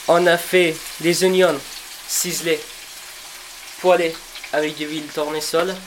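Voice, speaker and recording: A man in his twenties from Afghanistan, a cook, telling his life story in a reflective, unhurried way, pausing and restarting as he searches for words. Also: on the high side, noisy background. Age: 20s. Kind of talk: personal storytelling for a documentary portrait.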